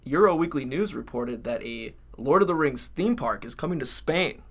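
A young man co-hosting a college podcast from a small podcast room, relaxed and joking as he rambles through the news. His voice sits at a middle pitch, with plenty of easygoing filler words. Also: moderate pace. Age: young adult. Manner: laid-back, jokey, rambling with fillers. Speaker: podcast co-host. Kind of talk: casual chat.

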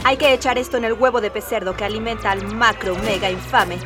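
A woman's voice: fairly high pitch, steady tone, fast, distant mic, music in the background, lightly acted. A woman playing a bold guerrilla bride in a radio serial. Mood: determined.

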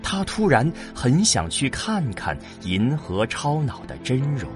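A man's voice: medium pitch, wildly swooping pitch, a little slow. A man reading scripted narration for a science fiction audio drama. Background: music under the voice.

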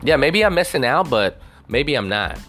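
Foul-mouthed man ranting, lively swoops. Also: mid-range voice, moderate pace, faint music behind. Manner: profane, swears constantly; rambling with frequent "you know" fillers. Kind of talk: casual rambling rant.

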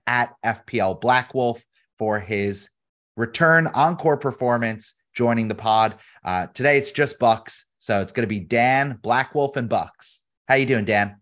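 An energetic man hosts a podcast, hyped and jokey in a relaxed chat. His voice sits at an ordinary middle pitch. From United States. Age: adult, has a daughter. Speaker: podcast host.

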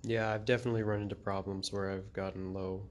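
A man's voice: fairly low pitch, level tone, quick pace. A teenage man hosting a casual student podcast, talking hesitantly with many fillers and restarts. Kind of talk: casual discussion of a short story and a game. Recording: noisy amateur recording.

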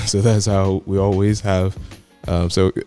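A man in his twenties, a podcast guest telling his story in a laid-back, chatty way with soft laughs. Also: deep voice, steady tone, slow, soft background music. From United States. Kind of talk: casual chat.

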